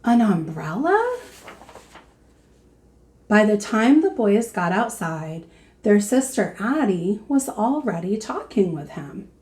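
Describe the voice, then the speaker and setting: A woman teacher reading a storybook aloud to children in an expressive manner. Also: mid-range voice. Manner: slow, expressive, addressing young listeners.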